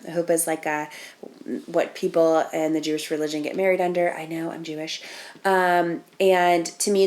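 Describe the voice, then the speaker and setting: A woman's voice: lowish voice, darkish tone. Sniffly, nasal woman reading tarot. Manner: chatty, many ums and restarts, talking through each card. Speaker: tarot reader and channel host. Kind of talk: casual tarot reading to camera.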